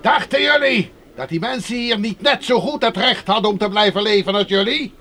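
An actor playing an angry, accusing agitator who rants coarsely in a radio drama. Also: male voice, high-pitched, fairly quick, pushed and bright. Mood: furious, accusing. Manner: ranting, accusing, coarse-mouthed. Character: angry sighted agitator shouting at the gate for the blind. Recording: noisy radio play recording.

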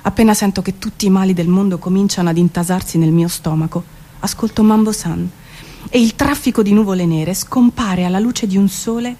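A woman giving an expressive, wry theatrical reading of literary prose. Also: mid-range voice, brisk. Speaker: performer reading prose poems. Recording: music behind the voice. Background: music.

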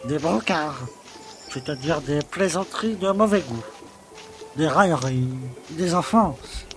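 An actor playing a pompous, officious village mayor in an audio drama, solemn, emphatic and formal. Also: male voice, on the high side, lively intonation, slow pace, music in the background. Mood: stern, instructing. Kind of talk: French audio drama acting.

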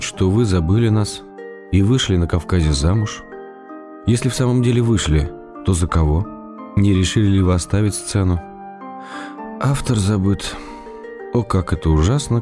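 Radio play role: courteous, teasing male writer played by an actor, low register, measured letter reading over prominent music. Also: close to an everyday voice. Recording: radio drama with clear music behind.

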